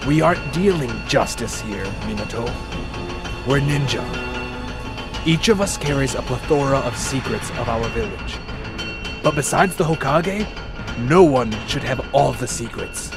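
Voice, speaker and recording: A man's voice: medium pitch, expressive, a little slow. A man playing a pragmatic, determined ninja investigator in an audio drama. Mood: stern. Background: prominent music.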